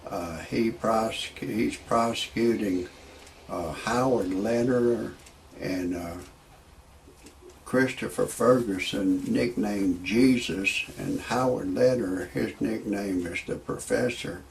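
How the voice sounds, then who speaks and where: A man's voice: on the low side, slow, vocal fry, slight background noise, poor audio. A man in his sixties, talking in a rambling way, repeating words as he goes, first with opinions and then with stand-up jokes. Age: 60s. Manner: rambling, repeats words while searching for a point. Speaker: video blogger.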